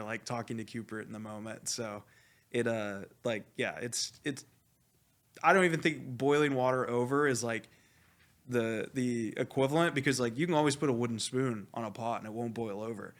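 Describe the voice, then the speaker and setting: Male coach, animated and outspoken, rambling candidly in a casual interview, mid-range voice. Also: medium pitch. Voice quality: loud voice. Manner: animated, rambling, heavy use of "like"; blunt, swears freely. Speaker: esports coach being interviewed.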